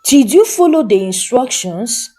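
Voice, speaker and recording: Woman acting a wicked, scolding friend, high-pitched. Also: sing-song, measured pace, mellow. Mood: interrogating.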